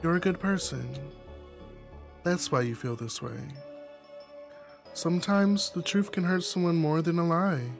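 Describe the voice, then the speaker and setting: Performer acting devoted young lover, visual novel, calm, gentle, reassuring, slow measured delivery, music behind. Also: medium pitch, close to an everyday voice. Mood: thoughtful, comforting. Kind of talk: visual novel character reading.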